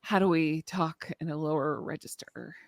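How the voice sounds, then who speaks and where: A woman hosting a casual chat, talking fast and upbeat and laughing easily. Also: low-pitched, steady tone. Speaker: host.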